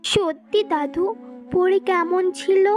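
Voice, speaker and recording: A woman's voice: extremely high pitch, fairly even intonation, takes it slowly, faint music behind. A woman voicing earnest young girls and fairies of a magic forest in a cartoon fairy tale. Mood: wondering. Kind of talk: animated fairy-tale dialogue.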